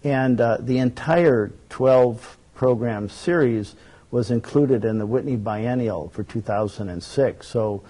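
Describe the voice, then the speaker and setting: A man as a guest on a talk show, explaining his work earnestly and at a measured pace, with frequent fillers and restarts. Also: mid-range voice, dark tone. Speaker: guest, documentary network director.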